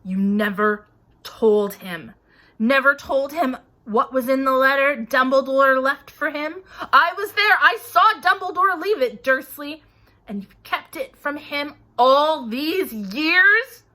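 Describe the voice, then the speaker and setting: A woman voicing a huge, gruff male giant who booms and blusters indignantly. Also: big pitch swings, unhurried, sharp tone, exaggerated voice. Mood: booming. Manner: booming, indignant.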